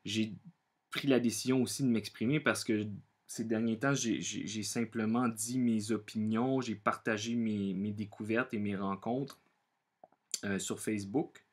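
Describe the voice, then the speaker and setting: A man from Quebec with a Quebec French accent, speaking earnestly and off the cuff as a vlogger, often pausing and repeating himself as he searches for words. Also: on the low side, steady tone, husky. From Quebec, Canada. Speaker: vlogger sharing personal opinions. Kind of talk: unscripted monologue to camera.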